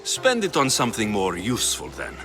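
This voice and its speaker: An actor playing a firm, no-nonsense young Greek mercenary hero in a video game, blunt and commanding. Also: male voice, on the low side, huge pitch swings, fairly quick, music in the background. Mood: dry.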